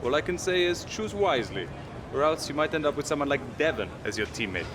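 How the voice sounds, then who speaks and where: A man's voice: on the high side, sing-song, a little fast, background noise. Dry, level-headed male racing driver. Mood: measured, gracious. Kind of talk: video game cutscene acting.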